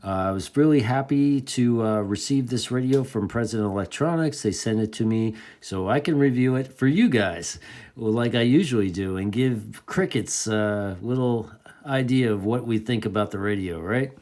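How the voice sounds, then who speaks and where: A man's voice: mid-range voice, normal speed, darkish tone. A man giving a casual, enthusiastic hands-on product review in informal hobbyist slang.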